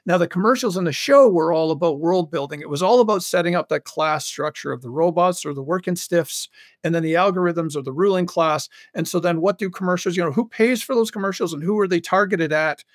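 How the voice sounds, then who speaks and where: A man's voice: high-pitched, fairly quick, dry recording, clean studio sound. A man chatting casually as an interview guest, telling stories about his creative work with enthusiasm and emphasis. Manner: enthusiastic, animated storytelling with frequent emphasis. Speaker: guest, audio fiction creator and commercial voice director.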